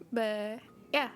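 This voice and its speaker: A fairly high-voiced young woman with a Quebec French accent chats easily with her stream audience. She laughs often and answers messages as they come in. Music plays steadily behind her. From Quebec, Canada. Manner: chatty, laughs often, replies to chat messages. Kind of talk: casual livestream chat with viewers. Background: background music.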